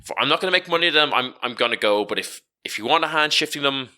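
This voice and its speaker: An exasperated, street-smart woman hustler acted out by a man in a tabletop game. The voice swings widely up and down with a bright, forceful edge. She stammers and haggles as she argues her case. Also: medium pitch, animated, fast. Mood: grudging. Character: Rowena, exasperated street-smart female hustler of stolen goods. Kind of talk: improvised tabletop role-play.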